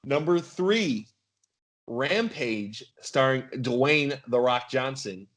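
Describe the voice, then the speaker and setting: A man's voice: medium pitch, expressive, slow pace, fairly bright tone. A man hosting a podcast game in a casual quiz style.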